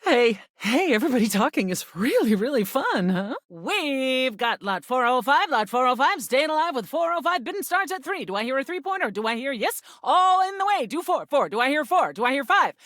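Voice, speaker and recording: A woman's voice: sits high, sing-song, a little fast, hardly any pauses, fairly bright tone, no room sound, clean studio sound. A woman voicing a video game personification of air, an ancient spirit who dwells on her words and repeats them. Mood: excited.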